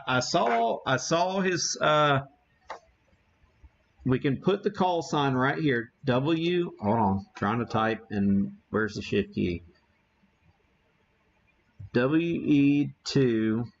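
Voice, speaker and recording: A man giving a casual live demo as an amateur radio streamer, talking haltingly as he thinks aloud while working the gear. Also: medium pitch, animated, vocal fry, muffled recording.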